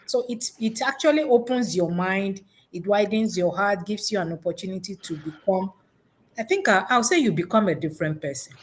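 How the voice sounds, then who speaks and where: A woman's voice: mid-range voice, animated, moderate pace. A woman giving unscripted, conversational interview answers as an education consultant, reflective and rephrasing her points for emphasis.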